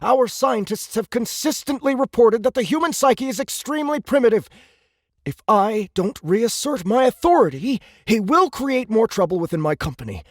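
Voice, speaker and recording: A man's voice: extremely high pitch, lively intonation, moderate pace, dry recording, studio quality. An actor playing a haughty, insecure young male alien commander in an audiobook, indignant and officious in manner. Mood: affronted insistence. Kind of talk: audiobook character acting.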